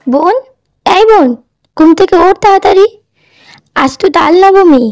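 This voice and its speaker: A woman's voice: very high voice, moderate pace, a little mellow, no room sound, faint music behind. A woman voicing a worried, sensible young elder sister from a poor village in a cartoon. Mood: urging. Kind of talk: cartoon voice acting.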